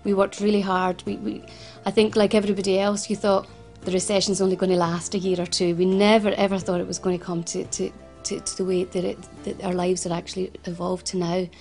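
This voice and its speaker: A woman with a Glasgow accent tells her own story in an interview, earnest and halting, stumbling over and repeating her words. Her voice is mid-pitched and held level, with little rise and fall. Soft music plays faintly underneath. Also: flat delivery. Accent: Scottish, Glasgow. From Scotland. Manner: earnest, frequent restarts and repetitions. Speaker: founder of a financial advice service. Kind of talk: personal interview testimony.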